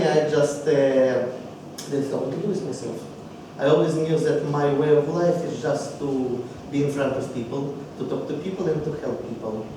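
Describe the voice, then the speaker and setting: A man in his thirties with a Russian accent tells his life story, halting now and then as he hunts for the right word. He is captured from a distance in a very echoey room, with steady background noise behind him. His pitch sits in a middle range. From Belarus. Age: 30s. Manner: halting, searching for words in a second language. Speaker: speaker at a public speaking club. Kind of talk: personal life story speech. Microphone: distant microphone.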